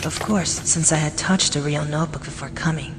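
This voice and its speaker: A woman in an anime fan dub playing a calm, coolly superior young male detective genius, speaking in a measured, deliberate way. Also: low voice, noisy background, natural voice. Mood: cool, assured.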